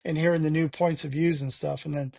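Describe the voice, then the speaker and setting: A man's voice: on the high side, little pitch movement, a little fast, gravelly, a little mellow, dry recording. A man talking frankly as an interview guest, rambling with lots of "you know" fillers. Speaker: podcast guest. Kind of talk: candid conversational interview.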